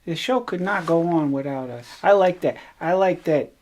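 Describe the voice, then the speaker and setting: An actress playing a shy, modest chief stagehand in a radio drama, talking haltingly and without show. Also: female voice, fairly low pitch, darkish tone, muffled recording, close to an everyday voice. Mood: shy, content. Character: shy, modest, kindly female chief stagehand after work. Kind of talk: naturalistic radio drama dialogue.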